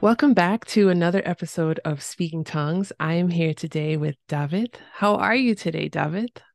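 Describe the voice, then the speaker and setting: A woman hosting a language podcast, reading her scripted introduction in an upbeat, clearly spoken way before she starts the interview. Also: lowish voice, normal speed, no echo, clean studio sound, faint music behind. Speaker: podcast host.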